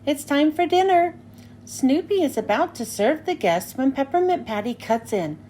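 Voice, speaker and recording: High, animated woman reading to children. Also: normal speed, slight background noise. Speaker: storybook reader. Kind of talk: children's read-aloud narration.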